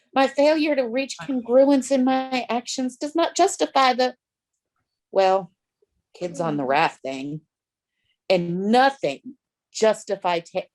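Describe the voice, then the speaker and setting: Casting: woman as sensitive, remorseful shark for musical comedy; high-pitched, earnest, halting apologizer. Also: darkish tone. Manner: halting, therapy-speak apologizing. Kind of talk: table read of a comic musical.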